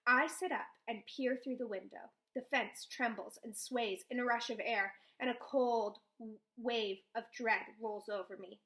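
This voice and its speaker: A woman reading a children's novel aloud, with expressive first-person storytelling. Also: on the high side, unhurried, poor audio. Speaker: read-aloud host.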